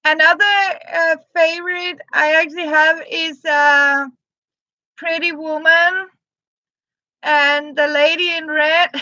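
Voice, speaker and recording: A Danish-accented woman with a very high, bright voice chats to her stream viewers in English, her second language. She talks slowly and evenly, stumbling and restarting words as she reads their comments aloud. The audio is dry and dull, like a lo-fi stream. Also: steady tone, no room sound. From Jutland, Denmark. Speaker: live-stream host. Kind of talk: casual live-stream chat.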